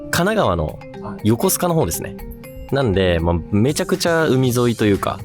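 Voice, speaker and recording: A man's voice: medium pitch, sing-song, moderate pace, soft background music. A man hosting a ghost-spot exploration, explaining the location outdoors and chatting casually with a companion. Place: outdoors on location in a seaside park at night.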